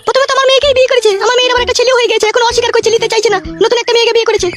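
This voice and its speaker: A woman's voice: extremely high pitch, flat delivery, racing, over music. A woman voicing a plaintive, insistent middle-aged village mother-in-law in a comic cartoon. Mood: aggrieved, determined.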